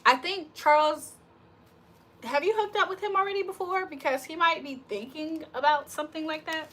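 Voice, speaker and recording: A woman's voice: high-pitched, normal speed, fairly bright tone, a little hiss or hum. A woman doing a casual livestream tarot reading, chatting with viewers in slangy talk and reading their comments aloud.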